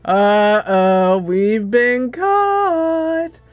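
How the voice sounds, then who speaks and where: A woman hosting a gaming channel, giving casual, expressive playthrough commentary with a giggly manner in a quiet room. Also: a little high, very slow, dry recording. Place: quiet room, voice-over on gameplay. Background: soft game music.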